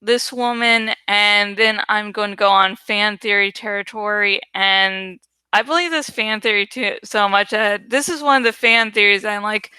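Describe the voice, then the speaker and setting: A woman's voice: medium pitch, moderate pace, sharp tone, clean tone, no echo, rough recording. A woman hosting a book read-aloud show, giving informal fan commentary that rambles and digresses. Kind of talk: casual, rambling fan commentary between dramatic readings.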